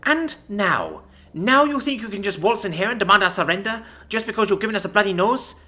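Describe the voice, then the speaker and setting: A man's voice: high voice, huge pitch swings, racing, a bit bright, voice pushed up, heavily acted. A man voicing a bitter, sneering young woman military commander who vents her fury in a profane rant. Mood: furious venting.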